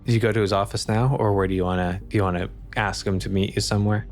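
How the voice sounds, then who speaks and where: A man's voice: fairly low pitch, fairly even intonation, fast, darkish tone, faint music behind. A man running a tabletop game as game master, narrating casually and improvising as he goes.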